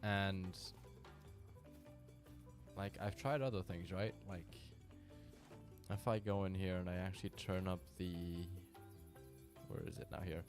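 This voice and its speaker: Male gamer, deep voice, casual live-stream chatter, music bed behind. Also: takes it slowly, pauses often. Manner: relaxed, chatty, talks to viewers by name. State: tired from little sleep. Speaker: game streamer. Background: music playing.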